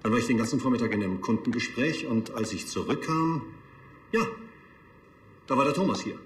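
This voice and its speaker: An actor playing a polite, businesslike funeral director in a TV crime drama. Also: male voice, mid-range voice, animated, brisk, roomy sound, slight background noise, close to an everyday voice. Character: polite funeral director answering police questions.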